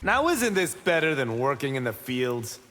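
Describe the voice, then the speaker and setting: Cocky young warrior monk, lively delivery. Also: male voice, mid-range voice, normal speed. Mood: cocky, teasing. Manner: boastful, teasing. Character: cocky, brash young male martial-arts monk warrior. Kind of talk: video game fight banter. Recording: reverberant game audio with background noise.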